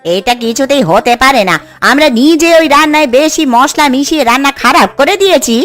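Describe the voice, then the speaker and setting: A woman's voice: high-pitched, animated, moderate pace, fairly bright tone, faint music behind. A woman playing a jealous, scheming rival village cook in a cartoon. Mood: outraged. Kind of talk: Bengali village cartoon voice acting.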